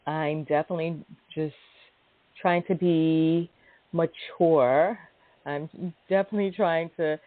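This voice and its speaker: A woman's voice: deep voice, dry recording. A woman in her forties hosting a casual call-in podcast, chatty and informal, often repeating herself for emphasis. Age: 40s. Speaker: podcast host. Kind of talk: casual call-in show chat. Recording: narrow phone-line sound.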